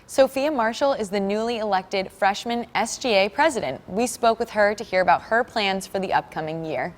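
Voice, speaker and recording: A young woman anchors a student newscast, reading from a script. Her voice is medium in pitch and carries a long reverb. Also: moderate pace. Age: young adult.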